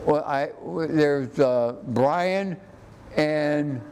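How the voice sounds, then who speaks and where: Slow-talking older American man, halting. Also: fairly high pitch, crowd noise. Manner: halting, often repeats words. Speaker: interview guest. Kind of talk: conversational reminiscing.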